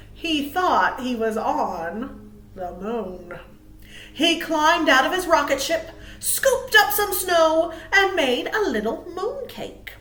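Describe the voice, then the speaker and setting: A woman reading aloud to small children as a storytime host, cheerful and expressive. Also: sits high. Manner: cheerful, expressive, asks listeners questions. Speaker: children's librarian hosting storytime. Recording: roomy, echoey sound.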